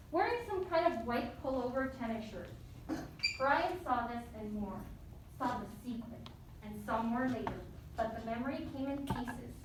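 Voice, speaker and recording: A woman teacher speaks in a fairly high voice, leading her pupils and reading to them with an encouraging manner. The sound is heavily reverberant and a little distant from the mic, with faint noise. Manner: encouraging, addresses pupils as friends. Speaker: elementary school teacher. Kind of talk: leading a class and reading a story aloud.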